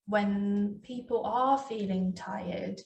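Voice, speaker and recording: A woman's voice: medium pitch, slow, gravelly, darkish tone. Woman, mid-range voice, casual health talk co-host, reflective and a little hesitant, captured in a reverberant room off the mic. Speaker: doctor co-hosting a health talk. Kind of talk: casual conversational discussion. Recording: echoey room, distant mic.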